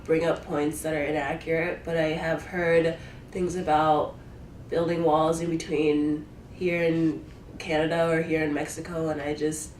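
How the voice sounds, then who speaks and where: Low-voiced young woman, echoey and distant. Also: level tone, unhurried, a little mellow, a little hiss or hum. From Ohio, USA; born in Taiwan. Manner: hesitant, many fillers like "um" and "like". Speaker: interviewee. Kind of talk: casual answers to interview questions.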